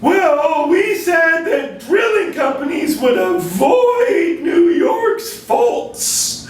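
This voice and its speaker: A man voicing a gleefully dismissive puppet bureaucrat in a satirical skit, giggly and flippant, with drawn-out exaggerated delivery. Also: very high voice, sing-song, faint music behind, heavily acted. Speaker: agency spokesman in satirical skit. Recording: very echoey room, far from microphone.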